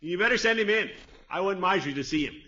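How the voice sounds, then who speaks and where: Middle-aged uncle, hearty, blustery, kindly, swooping intonation, radio sitcom, dull vintage recording. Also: male voice, high-pitched, lively intonation, fast talker, bright, forceful tone. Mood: hearty, genial. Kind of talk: 1950s radio sitcom acting.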